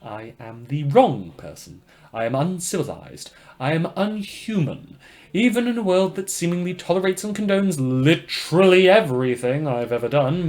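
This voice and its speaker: A man's voice: fairly high pitch, darkish tone. Man playing theatrical, murderously polite radio host, swooping delivery. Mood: calm confession. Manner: theatrical, verbose, genteel, mock-apologetic. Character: polite, murderous, inhuman male radio host, darkly cheerful. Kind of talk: scripted fiction podcast monologue as radio broadcast.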